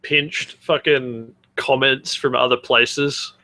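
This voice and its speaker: A man's voice: mid-range voice, steady tone, slow pace, pushed and bright. A man co-hosting a comedy podcast with wry, sardonic banter and mock-dramatic readings. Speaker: podcast co-host.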